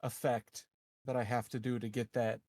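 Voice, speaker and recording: A man's voice: medium pitch, level tone, moderate pace, a little mellow, dry recording, clean studio sound. A man chatting casually as a player in a tabletop game.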